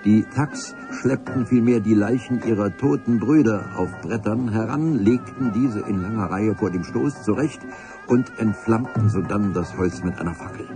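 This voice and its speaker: An actor playing a grandiloquent chronicler, narrating a radio adventure in a solemn, florid, measured manner. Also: male voice, on the low side, music in the background, lightly acted.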